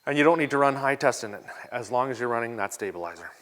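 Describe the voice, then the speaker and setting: Canadian male vlog host, laid-back and chatty, mid-pitched voice, long reverb tail. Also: fast talker. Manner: relaxed and chatty, with frequent ums and uhs. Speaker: channel host answering viewer questions. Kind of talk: casual Q&A vlog.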